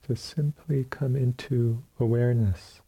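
A very dark, mellow man's voice with a husky edge guides a meditation. He speaks as a teacher, in gentle phrases separated by long pauses. The delivery is slow and unhurried. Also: medium pitch, steady tone, rough recording. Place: retreat center meditation hall.

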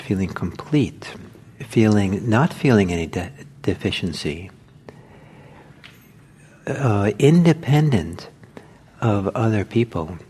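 An older man, originally from Norway and a native Norwegian speaker, giving an informal meditation talk in a gentle, unhurried way with many pauses. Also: fairly low pitch, breathy edge. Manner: gentle, hesitant, with frequent pauses and fillers. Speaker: meditation teacher. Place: meditation hall.

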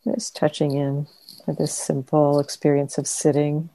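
A woman teacher leading a guided meditation in a gentle, unhurried manner, leaving long pauses. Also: deep voice, level tone, no echo, low-quality recording. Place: meditation center.

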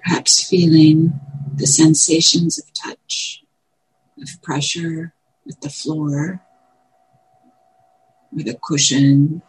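A woman meditation teacher guiding a meditation in gentle, measured short phrases with long pauses. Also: low voice, fairly even intonation, poor audio. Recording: clear background noise, room ambience.

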